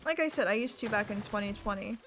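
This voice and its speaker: A young woman with a rough, hoarse voice chats loosely into a desk mic as she plays, drifting from one thought to the next. She teases herself often and keeps an easygoing, unhurried manner. Music plays clearly beneath her voice. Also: medium pitch. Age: young adult. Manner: rambling, self-deprecating, chatty. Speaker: live streamer. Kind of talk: casual gaming stream chat. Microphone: desk microphone. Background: music playing.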